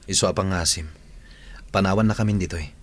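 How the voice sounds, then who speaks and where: A man's voice: low-pitched, fast. A man playing an earnest, put-upon office worker in a Filipino radio drama, with an Ilocano accent. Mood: defensive. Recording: noisy old radio recording.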